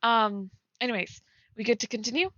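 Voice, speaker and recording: Young female co-host, slightly high voice, tentative and rambling casual commentary, dry close recording. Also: a little fast, pushed and bright, no room sound. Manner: rambling, tentative, heavy use of "like". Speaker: co-host reading and critiquing fan fiction. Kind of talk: casual chat with read-aloud passages. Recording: dry, slightly dull lo-fi mic.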